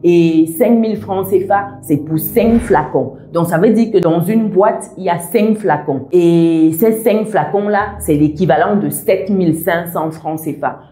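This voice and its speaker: Woman vlogger with a diaspora accent, low and mellow, deliberate, hesitant pauses, echoey room. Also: soft background music. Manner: measured, methodical, many "euh" pauses. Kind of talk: explaining to camera.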